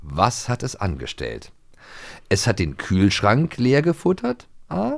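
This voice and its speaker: A man's voice: on the low side, huge pitch swings, takes it slowly, vocal fry. A man co-hosting a podcast, chatting casually and playfully about radio plays. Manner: playful, jokes and silly voices. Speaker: podcast co-host.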